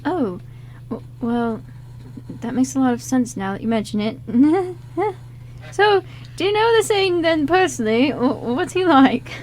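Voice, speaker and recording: A woman's voice: sits high, big pitch swings, a little slow, a little mellow, a little hiss or hum. A woman voicing a nervous teenage boy with a crush in an amateur radio play, stammering and flustered. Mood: surprised, curious. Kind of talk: amateur radio script reading.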